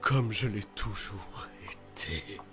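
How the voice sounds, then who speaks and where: A performer voicing a theatrical, grandiose chaos spirit in an animated cartoon dub, mocking and self-pitying, bitter and wounded. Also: low-pitched, takes it slowly, faint music behind, full character voice. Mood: bitter, defiant.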